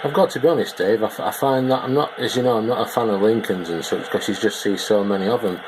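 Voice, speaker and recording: Low-voiced man hosting, very noisy recording. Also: fast talker. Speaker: video host and interviewer. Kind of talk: casual video presenting. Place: small wood-panelled indoor radio bench. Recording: very noisy handheld camera recording.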